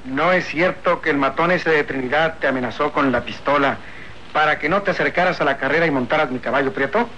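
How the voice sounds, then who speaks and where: Bright-voiced insistent rancher hero. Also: male voice, fairly high pitch, brisk, highs cut off. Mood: demanding. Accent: Mexican. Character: bold, insistent, hot-tempered young rancher hero. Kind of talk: old radio serial acting. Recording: faintly noisy old radio recording.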